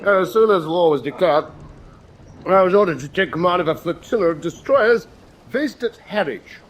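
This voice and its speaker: An actor playing a pompous, boastful middle-aged British naval commander in a radio drama, with theatrical, self-satisfied storytelling. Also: male voice, high-pitched, animated, normal speed, low-quality recording. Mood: dramatic storytelling. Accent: British English.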